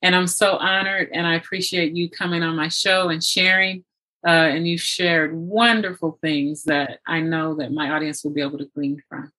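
A woman's voice: deep voice, little pitch movement, normal speed, sharp tone, rough recording. A woman hosting an interview podcast in a conversational, effusive and appreciative way. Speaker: podcast host.

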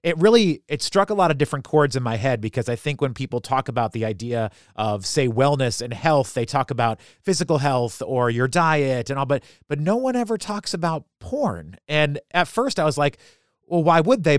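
A man's voice: mid-range voice, no echo, clean studio sound. An American man hosting a podcast, talking easygoing and asking long casual questions. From United States. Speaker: podcast host. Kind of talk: casual interview chat.

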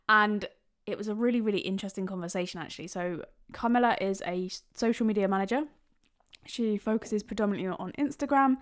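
A woman hosting a podcast, bubbly and chatty, who swears casually while she introduces a guest and interviews her. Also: mid-range voice. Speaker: podcast host.

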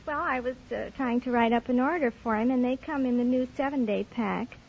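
A woman's voice: fairly high pitch, brisk, vocal fry. A woman answering a host's questions as a contestant on an old radio quiz show. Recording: dull, noisy old radio, loud audience. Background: studio audience.

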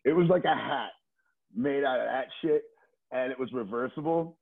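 Middle-aged man, husky voice, casual storyteller. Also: mid-range voice, normal speed, narrow, tinny audio. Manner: informal, slangy, swearing, frequent "like" and "you know". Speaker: podcast guest, skateboarder.

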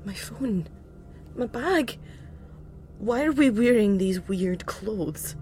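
A woman's voice: mid-range voice, expressive, slow, mellow, slight background noise. An actress playing a confused woman waking in a strange pod, asking anxious questions. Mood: frightened. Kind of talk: audio drama acting.

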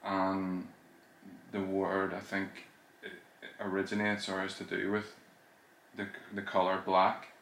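A man's voice: deep voice, monotone, a little slow, a bit bright, slight background noise. A man co-hosting a podcast, talking hesitantly with lots of fillers and tag questions. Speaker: podcast co-host. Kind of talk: casual chat. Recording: echoey room.

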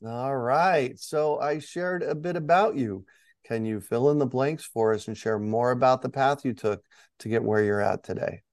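A man's voice: medium pitch, moderate pace, darkish tone, no room sound, clean studio sound. A man hosting an interview podcast, presenting scripted introductions and questions. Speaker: podcast host.

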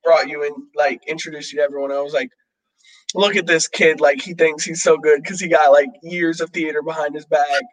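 A man's voice: a little high, steady tone, fairly quick, low-quality recording. A young man in casual conversation, rambling with many fillers and restarts. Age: young adult. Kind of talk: casual chat.

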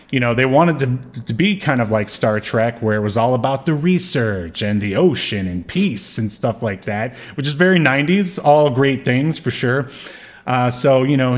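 A man co-hosting a podcast, chatting casually about old television in a rambling, playfully argumentative way. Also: mid-range voice. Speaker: podcast co-host.

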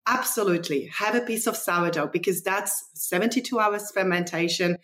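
A woman's voice: medium pitch, moderate pace, fairly bright tone. A woman from the former Czechoslovakia, a nutritionist guest, telling stories in a chatty, enthusiastic way with non-native phrasing. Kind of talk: casual conversational storytelling.

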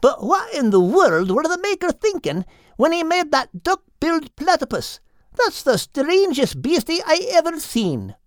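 A man pitches his voice well up into a folksy male seagull, plainspoken and curious, speaking with homespun grammar. The storybook voice is dry and close. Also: huge pitch swings, a little slow, no echo, heavily acted. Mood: puzzled. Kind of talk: audiobook character voice.

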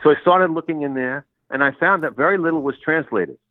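A man's voice: on the high side, fairly quick, dry recording. A man whose first language was Sicilian, a college English professor telling his story in an interview, with a rambling manner and many restarts. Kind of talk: relaxed interview conversation. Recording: tinny phone-like line, dry.

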